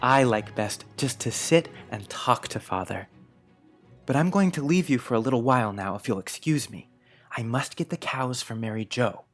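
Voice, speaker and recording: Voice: mid-range voice, expressive, normal speed. An earnest little boy played by a voice actor talks in precise, old-fashioned, affectionate sentences. It is a storybook drama with faint music under the voice. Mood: happy, earnest. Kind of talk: dramatized audiobook acting.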